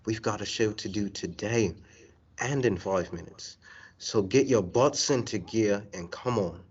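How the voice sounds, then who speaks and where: A young man playing a bossy, exasperated radio studio colleague, stern and sarcastic, in a high school radio play. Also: a little slow, slightly rough. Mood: commanding. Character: gruff, bossy, exasperated male radio show boss. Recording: dull lo-fi recording.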